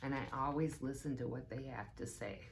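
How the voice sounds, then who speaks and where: A woman's voice: very deep voice, brisk, slight background noise. A woman teaching assistant reading a children's storybook aloud and explaining it in simple, instructive phrases.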